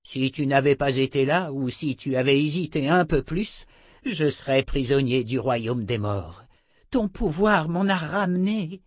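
A man voicing an old wizard in an audiobook, croaking and emphatic, urgent and proud. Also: mid-range voice, lively intonation, a little slow, no echo, studio quality, voice pushed up. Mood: relieved, proud. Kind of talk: audiobook character voice.

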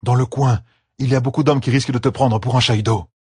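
Man acting a gruff, husky young lord, hot-tempered. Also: mid-range voice, steady tone, a little fast, a little mellow, dry recording, studio quality, pitched above the performer's own voice. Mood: warning. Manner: grumbling, blunt.

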